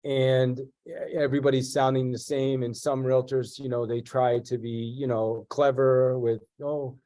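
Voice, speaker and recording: A man's voice: mid-range voice, normal speed. A middle-aged man with a congested voice from a cold, speaking directly with lots of restarts as an interview guest. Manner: direct, energetic, with many restarts. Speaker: guest real estate agent and sales trainer. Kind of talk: conversational interview.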